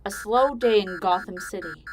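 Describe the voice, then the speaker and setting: A woman giving scripted dramatic narration as the narrator of a story, in a measured, deliberate manner. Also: mid-range voice, gravelly, faint music behind.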